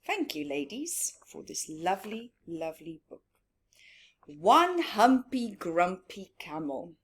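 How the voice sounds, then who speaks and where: Woman, children's storytime presenter, slow pace, singsong delivery, mid-range pitch, teaching and read-aloud style. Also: very animated, breathy edge. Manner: singsong, repeats words for young children; asks listeners questions and answers them.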